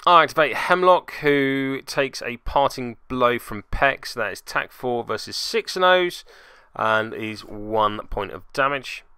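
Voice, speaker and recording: Male game narrator, wry and self-mocking, clear forceful delivery, dry close-miked sound. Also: mid-range voice, lively intonation, measured pace, dry recording. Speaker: host narrating a tabletop game battle report. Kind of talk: casual recorded commentary.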